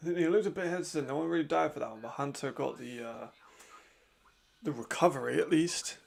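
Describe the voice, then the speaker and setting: A man hosting a watch-along, giving casual live commentary with a raspy voice, chatty and laughing often. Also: a little high, brisk. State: hay fever.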